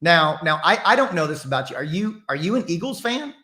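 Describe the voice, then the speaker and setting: An American man with a slightly high voice hosts a podcast. He speaks in a casual, conversational interview style, as someone from the East Coast. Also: very animated, a little fast, sharp tone. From East Coast of the United States. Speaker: podcast host.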